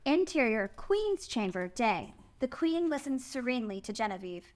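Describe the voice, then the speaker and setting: A woman's voice: fairly high pitch, sing-song, normal speed. A woman narrator reading out the stage directions and scene headings of a screenplay.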